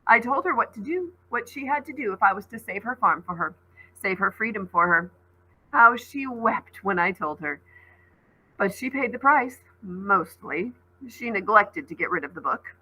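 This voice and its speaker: A woman's voice: medium pitch, animated, normal speed, pushed and bright, husky, low-quality recording. A woman voicing a sinister, boyish supernatural trickster in a storybook, taunting and smug in a theatrical way. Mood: mocking, boastful.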